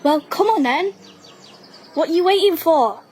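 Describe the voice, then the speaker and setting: A woman playing a guarded, sharp-tongued wartime schoolgirl in an audio drama, giving brisk, dry retorts. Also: very high-pitched, lively intonation, noisy background. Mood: wary, impatient. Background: birdsong.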